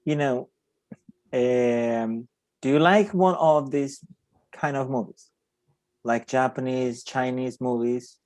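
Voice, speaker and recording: A man with a Portuguese accent hosts a casual chat, speaking slowly and haltingly as he hunts for his words. His voice is mid-pitched, and the room sounds dry and close. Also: darkish tone, no room sound. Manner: halting, searching for words, laughs often. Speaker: podcast host. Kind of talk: casual interview.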